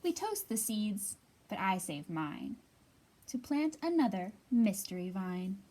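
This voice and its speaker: A woman librarian giving a storytime reading for young children, sing-song and expressive, pausing to ask the little listeners questions. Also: mid-range voice, slight background noise.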